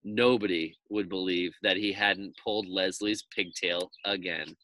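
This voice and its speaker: A man narrating a children's story aloud in an expressive storytelling style. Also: deep voice, a little slow, slight background noise, poor audio.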